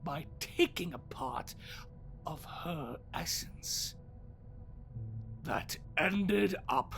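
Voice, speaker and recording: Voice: mid-range voice, lively intonation, very slow, vocal fry, a bit bright, faint music behind, heavily acted. An actor playing an ancient, sinister immortal storyteller in an audio drama, theatrical, bitter and mocking, drawing out riddles and slipping into hissing whispers. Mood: brooding, hushed.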